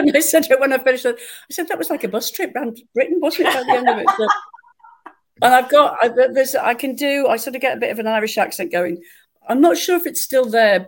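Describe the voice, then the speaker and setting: A woman, an actress chatting in an interview and telling lively, self-mocking stories. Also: medium pitch. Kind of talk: conversational interview.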